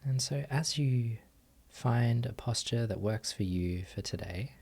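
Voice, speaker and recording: A man guiding a meditation as a teacher, giving gentle instructions with long pauses. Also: mid-range voice, breathy edge. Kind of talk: guided meditation.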